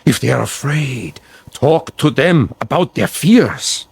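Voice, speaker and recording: An actor playing a sinister, commanding dead dictator in a radio drama, with a husky, insinuating, formal delivery. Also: male voice, mid-range voice, expressive, slow, full character voice. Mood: instructive, impassioned. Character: sinister dead dictator, coaxing a follower in demagoguery. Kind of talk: vintage-style radio drama acting.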